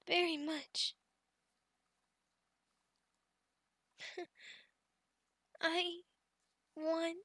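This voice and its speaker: A woman playing a sweet, shy young adult female goblin in an ASMR roleplay, speaking in halting broken phrases and calling herself by name. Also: very high voice, sharp tone, dry recording, heavily acted. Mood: tender, loving. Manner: halting broken speech, refers to herself in third person, giggly.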